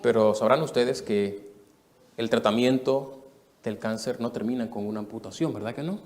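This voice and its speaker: A man in his thirties from the Dominican Republic, preaching a sermon testimony to a live church audience in an earnest storytelling way, moving between soft and emphatic. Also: mid-range voice, moderate pace.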